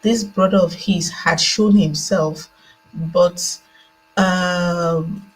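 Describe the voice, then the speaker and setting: A Nigerian woman with a lowish, husky voice shares her views as a guest on a discussion call. She speaks conversationally and thoughtfully, circling back and repeating herself as she searches for words. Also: fairly even intonation, extremely slow, low-quality recording. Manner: hesitant, reflective, repeats phrases for emphasis. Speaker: remote guest on a panel discussion. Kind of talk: unscripted opinion, conversational. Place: indoors at a laptop on a remote online call.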